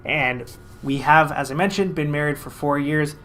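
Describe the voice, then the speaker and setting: A man from Canada, a financial advisor, telling a story to camera in a casual, lively, self-mocking way. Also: on the high side, normal speed, a little hiss or hum.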